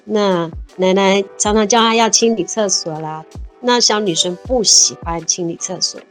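Taiwanese woman, 50s, interview guest; earnest storyteller, mid pitch, faint music under. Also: normal speed. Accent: Taiwanese Mandarin. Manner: earnest, warm-hearted, often exclaiming. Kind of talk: reminiscing conversational storytelling.

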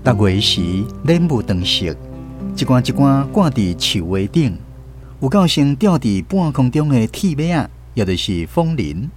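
A man with a Taiwanese accent narrates a children's story from a script. His mid-pitched voice is animated and expressive, with plucked guitar music behind him. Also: a little slow.

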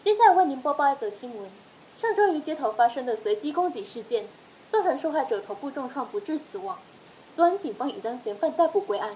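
Formal newsreader, high voice, scripted bulletin, faint hiss. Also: female voice, big pitch swings, quick pace, a little mellow, low-quality recording. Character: formal female news anchor. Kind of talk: scripted news bulletin in an audio drama.

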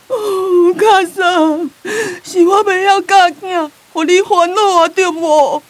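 A confused old Taiwanese grandmother in a radio play, calling out for her mother. Her voice is high and slow, plaintive and pleading, recorded close and dry. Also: fairly even intonation, no echo. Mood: tearful. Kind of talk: radio drama acting.

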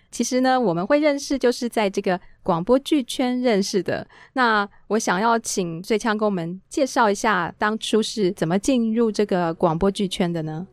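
A woman's voice: mid-range voice, no room sound, studio quality. A woman with a Taiwanese Mandarin accent, hosting a radio interview in a conversational style. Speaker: radio show host.

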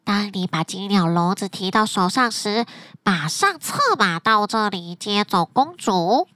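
A woman's voice: medium pitch, lively intonation, a little slow, sharp tone, no room sound, clean studio sound, voice pushed down. A woman voicing a clever, helpful little fox in a bedtime story, talking in a coaxing, instructive way. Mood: advising.

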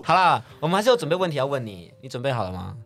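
A man's voice: medium pitch, very animated, very fast, a bit bright. A man with a Taiwanese Mandarin accent hosting a casual chat in a playful, teasing manner. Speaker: podcast host.

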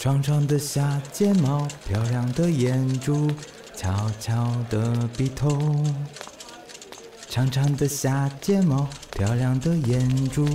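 A man's voice: medium pitch, slow, warm tone, a little hiss or hum, lightly acted. An actor playing a good-natured, easily smitten widowed single father in a radio drama, musing to himself expressively. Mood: wistful, dreamy. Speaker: voice actor, lead. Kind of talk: radio drama acting with inner monologue.

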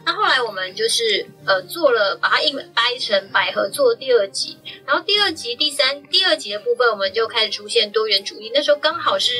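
A woman's voice: a little high, lively intonation, brisk, hardly any pauses, bright, forceful tone, faint music behind, low-quality recording. A woman with a Taiwanese Mandarin accent, hosting a casual group conversation on a podcast. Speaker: podcast host.

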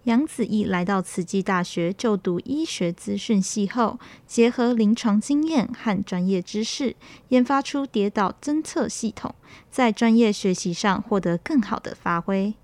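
A woman's voice: mid-range voice, a little slow, a little mellow, no echo. Young Taiwanese woman anchor, dry sound. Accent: Taiwanese Mandarin. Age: young adult. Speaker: campus radio anchor. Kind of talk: scripted news reading.

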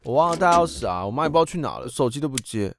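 A man's voice: medium pitch, big pitch swings, racing, a little hiss or hum. An actor playing a rebellious teenage boy who snaps and whines at his mother. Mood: sheepish. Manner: irritable, snapping, whiny. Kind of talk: radio drama acting.

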